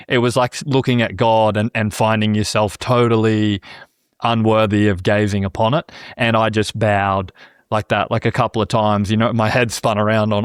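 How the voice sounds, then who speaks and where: A man's voice: on the low side, clean tone, no room sound. Earnest rambling man, dry close sound. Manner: rambling and earnest, many restarts, often says "you know what I mean". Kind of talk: casual conversation.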